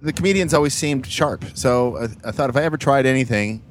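A comedian talking while he drives, in a dry, deliberate manner with frequent pauses. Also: mid-range voice, slight background noise. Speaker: comedian guest. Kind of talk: casual chat. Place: driving a car.

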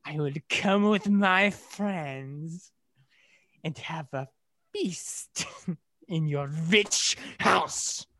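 Cruel taunting villain, gravelly edge. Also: male voice, fairly high pitch, drawn-out words, sharp tone, full character voice. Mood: sneering, threatening. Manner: sneering, taunting, commanding. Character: cruel, menacing male soldier, brutal taunting villain. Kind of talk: radio play acting.

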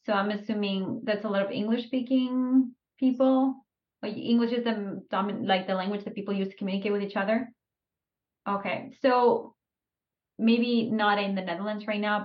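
A podcast host asks long, winding questions in a middle-pitched voice. The sound is dull and lo-fi, like a remote call. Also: female voice. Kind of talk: interview questions.

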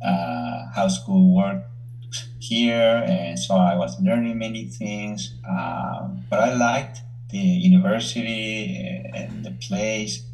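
A man in his forties from El Salvador with a Salvadoran Spanish accent, a native Spanish speaker, a professor answering interview questions in a measured way, sometimes searching for words. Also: on the low side, a little mellow, a little hiss or hum, rough recording. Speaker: university professor, guest.

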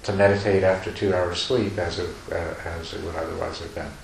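Low-voiced man teaching meditation, echoey room. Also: little pitch movement, fairly quick, vocal fry. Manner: rambling, many restarts, chuckles softly. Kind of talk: dharma talk to retreat group. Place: retreat meditation hall. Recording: echoey room, faint noise.

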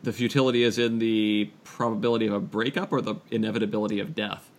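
A man's voice: lowish voice, fairly even intonation, fast talker. A man co-hosting a casual podcast among friends, talking in a hesitant, dryly analytical way. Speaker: podcast co-host.

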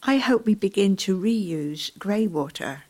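A woman playing an earnest volunteer fish counter in a community radio play, speaking in a measured, explanatory way. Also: medium pitch, lively intonation, darkish tone. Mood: concerned.

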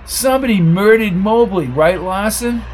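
A man's voice: high-pitched, moderate pace, slight background noise, voice pushed up, lightly acted. A man voicing a hot-tempered, bullying tough guy in an audiobook, blunt, slangy and snapping. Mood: aggressive.

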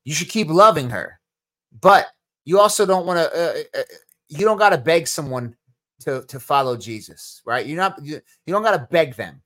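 A man's voice: on the high side, expressive, normal speed, a bit bright. Casual New Jersey man answering chat questions. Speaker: podcast host.

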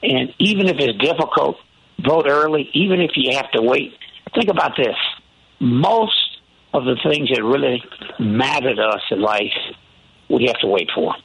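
A man with a raspy voice giving plain, exhorting commentary as a panelist. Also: medium pitch, expressive, moderate pace, a bit bright, rough recording. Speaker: panelist, commissioner.

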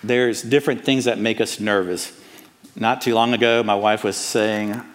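A middle-aged man from Cuba, raised in the American South, with a Southern accent, preaching an earnest and personal sermon, often pausing on "uh". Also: lowish voice, fairly even intonation, long reverb tail. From Cuba, raised in Atlanta. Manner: earnest, personal, with frequent "uh" fillers. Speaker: pastor. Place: church sanctuary.

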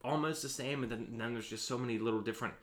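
Opinionated man with a bright, forceful voice. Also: medium pitch, fast talker. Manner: opinionated, emphatic, swears freely. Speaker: podcast host. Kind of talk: casual podcast chat.

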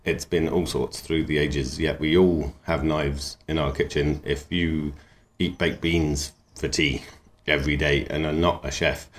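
Very deep-voiced relaxed man. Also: slight background noise. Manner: easygoing, rambling, enthusiastic. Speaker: knifemaker and cook. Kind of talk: relaxed interview talk.

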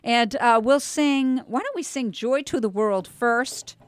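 A woman's voice: fairly high pitch, lively intonation, a little slow, clear, resonant tone, no room sound. A middle-aged woman hosting a chatty radio interview in an effusive, encouraging way. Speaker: radio host and voice teacher interviewing young performers. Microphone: studio microphone with headsets.

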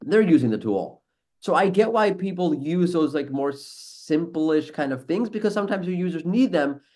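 A man's voice: a little high, lively intonation, normal speed, darkish tone. A man hosting a casual podcast, talking in a blunt, sarcastic and mildly profane way. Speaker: podcast host, SEO professional and former teacher.

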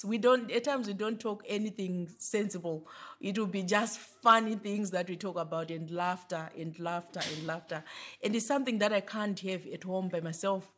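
A woman's voice: medium pitch, a bit bright. A woman, a member of a mothers' group, speaking with enthusiasm in an interview. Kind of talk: interview answer.

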